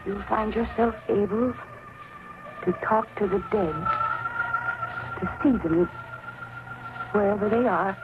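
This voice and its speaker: A woman's voice: mid-range voice, slow, long pauses, lightly acted. An actress playing a determined young woman in an old-time radio horror drama, with an intense, earnest, dramatic delivery. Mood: intense, mysterious. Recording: tinny, very noisy old radio recording.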